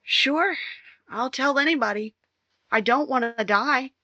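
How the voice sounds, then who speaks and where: A woman plays a frightened young wife in jail in an old radio drama. Her voice is fairly high and tense, the sound of someone about twenty-one. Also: measured pace, bright, forceful tone, lo-fi sound, exaggerated voice. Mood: fearful, forlorn. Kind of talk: 1950s radio drama acting.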